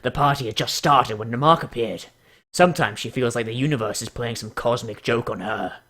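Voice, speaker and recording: A man putting on a solemn, measured narrator for a mock-dramatic storybook reading of a pony character profile. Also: medium pitch, a bit bright, voice pushed down, close to an everyday voice.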